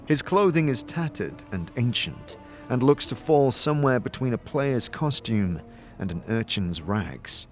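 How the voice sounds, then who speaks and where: A man reading scripted fantasy audio drama narration in a measured, atmospheric storytelling manner. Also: mid-range voice, very animated, soft background music.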